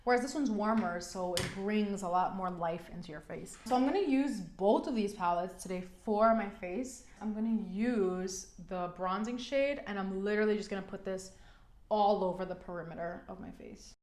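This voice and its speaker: A woman's voice: medium pitch, normal speed, darkish tone. A young woman in her twenties chatting frankly while doing her makeup, her voice congested from a cold, with lots of "like" fillers. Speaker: beauty vlogger. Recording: echoey room.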